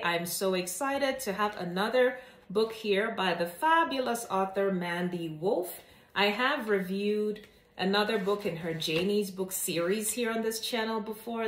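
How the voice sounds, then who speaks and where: A woman host speaking in an expressive, deliberate, enthusiastic storytelling manner for a children's read-aloud channel. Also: medium pitch, reverberant. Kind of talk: scripted-sounding channel introduction and animated children's story reading.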